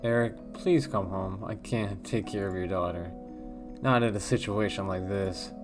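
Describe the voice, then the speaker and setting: A man with a very low voice reads a text-based horror game aloud. His delivery is hushed and measured with a wondering, uneasy tone, and faint music plays underneath. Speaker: let's play host.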